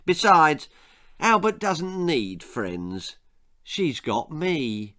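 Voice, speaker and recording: A man's voice: fairly high pitch, very animated, drawn-out words, fairly bright tone, voice pushed up, heavily acted. A man voicing a smug, possessive, pushy father with a self-satisfied way of drawing out his words in a children's story. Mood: dismissive.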